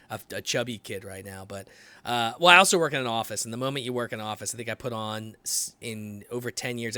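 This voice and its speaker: A man's voice: mid-range voice, bright, forceful tone. A man in his late thirties hosting a podcast, talking loosely and swearing freely as he chats. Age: late 30s. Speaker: podcast host. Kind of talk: casual conversation.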